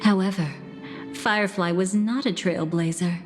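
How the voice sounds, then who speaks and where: Woman acting as wistful female game narrator, measured storytelling, long reverberant tail, music underneath. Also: medium pitch, fairly even intonation, close to an everyday voice. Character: soft-spoken woman narrator recalling bittersweet memories.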